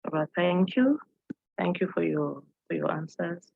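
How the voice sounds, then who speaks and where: A woman's voice: fairly low pitch, lively intonation, normal speed, vocal fry, husky, no room sound, narrow, tinny audio. A woman from Cameroon, an English teacher, giving an earnest online presentation and posing questions to her audience, with frequent fillers.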